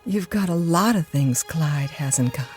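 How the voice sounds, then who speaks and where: Coy discontented wife, very unhurried delivery, money-hungry, audio drama, light music behind. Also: female voice, low voice, animated, darkish tone. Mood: wistful, wanting.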